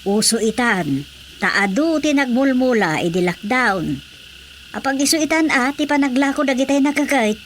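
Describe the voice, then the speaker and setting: High-voiced, chatty Ilocano village woman. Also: animated, normal speed, a little hiss or hum. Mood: chatty, encouraging. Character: chatty, teasing village woman who grows plants, Manang Supeng. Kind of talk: radio drama comedy acting.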